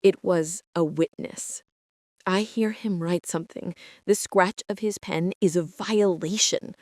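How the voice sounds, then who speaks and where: A woman playing a traumatized, meticulous archivist, narrating a psychological horror drama in a brooding, deliberate way. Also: lowish voice, darkish tone, dry recording, studio quality, lightly acted. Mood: uneasy, brooding. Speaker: narrator and lead character of an audio drama.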